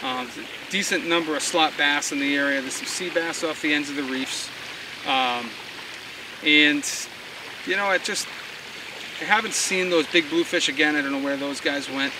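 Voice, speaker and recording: A man hosts a fishing forecast outdoors by a harbor. His voice runs a little high and relaxed, full of casual fillers. The mic sits well back, and outdoor noise comes through loud around him. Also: normal speed, far from the mic.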